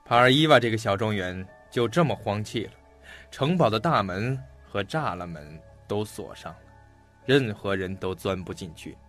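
Confident, talkative detective, music behind. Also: male voice, lowish voice, animated, moderate pace, fairly bright tone. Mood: assured storytelling. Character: shrewd, talkative, self-assured male detective recounting a case. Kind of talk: Chinese audio mystery drama acting, storytelling delivery.

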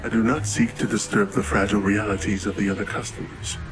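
Actor as formal, cryptic low-voiced man. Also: little pitch movement, fast talker, music in the background, lightly acted. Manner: formal, stilted, precise. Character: formal, cryptic male contact with secret business. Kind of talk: audio drama acting.

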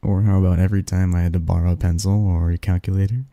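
Man acting a dark-voiced, snarky young research assistant. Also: low voice, level tone, dry recording. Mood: teasing, fond. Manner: halting, sighing, teasing, wordy. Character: snarky young male research assistant, fondly teasing his friend. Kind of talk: intimate ASMR roleplay monologue.

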